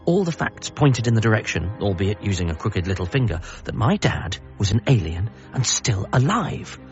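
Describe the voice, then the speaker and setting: Wry storyteller over faint music. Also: male voice, on the low side, normal speed, highs cut off. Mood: wry, conversational. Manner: wry, deadpan asides. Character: wry, self-deprecating male narrator telling his own story. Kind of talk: first-person comedy drama narration.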